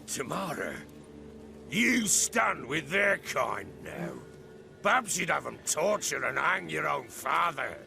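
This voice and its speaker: Gravelly, boorish, remorseful father. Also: fairly high pitch, very animated, takes it slowly, sharp tone, soft background music, heavily acted. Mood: indignant. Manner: heavy, wheedling, emotional. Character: gruff, drunken, remorseful father, boorish and wheedling. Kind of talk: video game cutscene acting.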